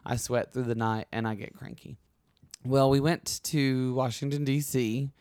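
Chatty hoarse man hosting a podcast. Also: mid-range voice, fairly even intonation, unhurried, darkish tone, dry recording, clean studio sound. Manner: chatty, rambling, with frequent restarts. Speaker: podcast host. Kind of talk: casual conversational podcast chat.

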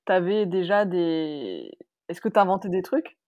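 Low-voiced curious woman host. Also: very animated, a little mellow. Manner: curious questions and quick backchannels. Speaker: podcast host. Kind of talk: casual interview chat.

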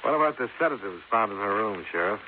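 A cool, persistent insurance investigator, played by a man in 1950s radio detective style. His lowish voice is clipped and dry as he questions and narrates. The broadcast is thin and clearly noisy. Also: lively intonation, fast talker, narrow, tinny audio, close to an everyday voice. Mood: probing, dry. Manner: clipped, dry, matter-of-fact. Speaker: lead and narrator.

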